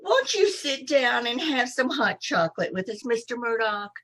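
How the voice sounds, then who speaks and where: A woman's voice: fairly high pitch, sing-song, normal speed, a bit bright, exaggerated voice. A woman playing a fluttery, lovestruck, scatterbrained older landlady in an old time radio comedy, swooning and dithering. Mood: flustered, swooning. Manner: dithering, swooning, breathlessly flustered. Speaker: amateur radio troupe actor.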